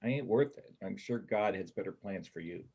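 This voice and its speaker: A man acting as a bookish ex-convict drifter in a stage reading, husky and fairly low. He talks in a blunt, wry, colloquial way, like a loner who doubts his own worth. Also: animated, a little fast, mellow, highs cut off. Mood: weary, reflective. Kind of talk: stage reader's theater dialogue.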